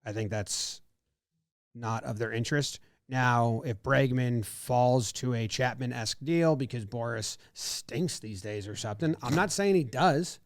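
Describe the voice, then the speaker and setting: A man's voice: medium pitch, a little slow, no echo, clean studio sound. A man co-hosting a podcast, chatting casually about sports, rambling and second-guessing himself. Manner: rambling, self-doubting, acts out what he thought. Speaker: podcast co-host.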